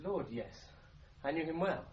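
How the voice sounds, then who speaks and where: An actor playing a pleasant, easygoing solicitor in a radio crime drama, polite and understated in manner. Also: male voice, fairly high pitch, animated, unhurried. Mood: subdued, friendly. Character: pleasant, easygoing male solicitor newly joined to a firm. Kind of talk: 1980s British radio crime drama acting. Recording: muffled radio recording with clicks and noise.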